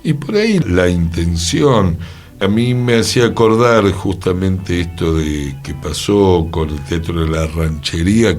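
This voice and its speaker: Low-voiced thoughtful Argentine man. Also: darkish tone. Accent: Argentine, Rioplatense. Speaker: radio show co-host. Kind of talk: reflective radio conversation.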